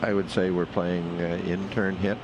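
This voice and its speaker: A man calling a curling match as play-by-play commentator, explaining the shots in a measured way with frequent pauses. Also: deep voice, flat delivery, dull audio. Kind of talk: sports commentary. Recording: broadcast audio with loud background noise.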